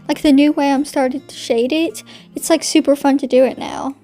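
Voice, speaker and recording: Chatty high-voiced young woman, slightly hoarse. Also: steady tone, normal speed, dark tone, faint music behind. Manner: chatty, many "like" fillers. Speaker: art YouTuber. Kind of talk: casual voiceover narration.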